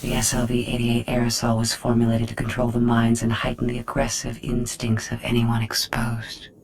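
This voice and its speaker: An actor voicing a cold, sadistic artificial intelligence in a video game, speaking in measured, formal menace. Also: male voice, mid-range voice, completely flat delivery, slight background noise, lightly acted.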